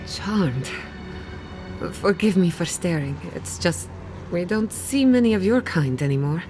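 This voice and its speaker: A woman's voice: fairly low pitch, lively intonation, measured pace, a little mellow, soft background music. An actress voicing a passionate, defiant young female scholar in a video game, speaking with emphasis. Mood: charmed, wry. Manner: impassioned, emphatic.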